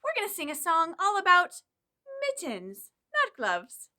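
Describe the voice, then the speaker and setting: A woman leading a children's storytime, talking directly to young kids in an animated, encouraging way as she presents songs and reads aloud. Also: extremely high pitch, unhurried.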